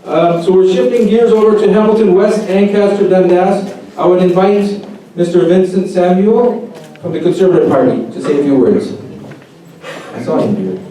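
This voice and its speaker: A man makes informal, somewhat hesitant announcements as event moderator. His slightly high voice rings heavily around the room, heard at a distance through clear noise. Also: normal speed, mellow. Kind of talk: informal announcing to a live audience. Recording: very echoey hall, distant, noisy.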